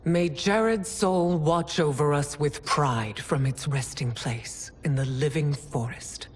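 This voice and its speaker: An actress playing a regal, stern widowed empress in a video game cutscene, formal and imperious. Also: female voice, low voice, slow, a little hiss or hum. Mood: stately.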